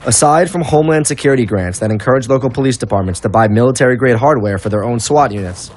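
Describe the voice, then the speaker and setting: A man's voice: medium pitch, fast, darkish tone. A man working as a documentary reporter, narrating and interviewing on location. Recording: music behind the voice.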